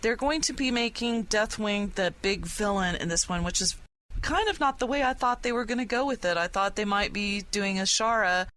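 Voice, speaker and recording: A woman's voice: mid-range voice, normal speed, a bit bright, a little hiss or hum. A woman talking casually as a panelist on a gaming podcast, putting questions to the others.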